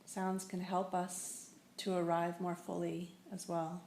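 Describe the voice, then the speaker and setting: Slow, creaky-voiced woman guiding meditation. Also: fairly low pitch, completely flat delivery. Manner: gentle, unhurried instruction with long pauses. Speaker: meditation teacher. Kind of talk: guided meditation. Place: indoor room in a building. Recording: echoey room with faint noise.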